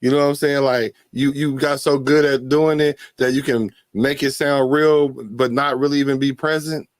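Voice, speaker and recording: A man hosting a casual late-night chat, laid-back and joking in a relaxed, slangy way. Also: medium pitch, moderate pace, no room sound. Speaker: host.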